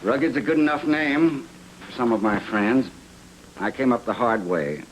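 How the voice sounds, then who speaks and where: An actor playing a gruff, self-made wealthy client in a film noir, blunt and commanding. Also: male voice, mid-range voice, moderate pace, a little hiss or hum, close to an everyday voice, poor audio. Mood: earnest, reflective. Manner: blunt, plain-spoken, commanding. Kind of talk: film noir screen acting.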